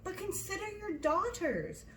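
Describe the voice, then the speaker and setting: A woman's voice: extremely high pitch, big pitch swings, measured pace, echoey room, slight background noise, pitched above the performer's own voice, heavily acted. A woman voicing a nervous, fretful middle-aged mother who complains and exclaims insistently in a storybook reading. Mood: pleading, insistent.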